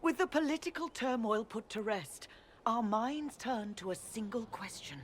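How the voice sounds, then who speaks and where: A woman's voice: on the high side, animated, moderate pace, slight background noise, natural voice. An actress playing a solemn, insistent senior churchwoman in a fantasy video game, formal and pressing in her address. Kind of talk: video game cutscene acting.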